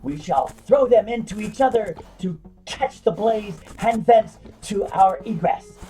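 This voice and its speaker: A grandiose drunken tavern adventurer from a comic fantasy audio play. His delivery swings widely in pitch, with a warm, rounded tone. He declaims in florid archaic phrases over light music. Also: animated, a little slow. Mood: conspiratorial. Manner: declamatory, flowery archaic diction.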